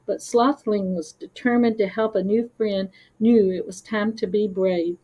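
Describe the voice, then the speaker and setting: A woman's voice: medium pitch, fairly even intonation, a little slow, warm tone, poor audio. A woman storyteller reading a scripted children's story.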